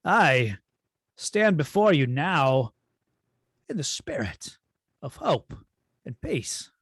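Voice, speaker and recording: A man playing a cold, imperious alien android villain in a script read-through, speaking in a husky, grandiose, deliberate way. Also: fairly high pitch, big pitch swings, fairly bright tone, no room sound, studio quality, heavily acted. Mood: grandly solemn. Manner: slow, grandiose, deliberate delivery. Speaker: table-read performer.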